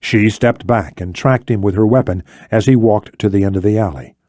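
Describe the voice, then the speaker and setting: A man's voice: fairly low pitch, moderate pace, warm tone, no echo, clean studio sound. A man reading scripted thriller narration as an audiobook narrator.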